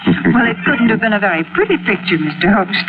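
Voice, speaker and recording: A woman announcer giving a cheerful, folksy, persuasive 1940s radio sales pitch on a scratchy old broadcast. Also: very animated, fairly quick, narrow, tinny audio. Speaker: commercial announcer.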